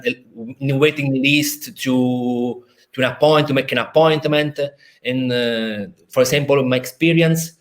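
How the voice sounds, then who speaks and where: A man with an Italian accent, a lawyer explaining a legal procedure over a video call, halting with many fillers and restarts. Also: mid-range voice. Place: in a city in Italy, at home or office.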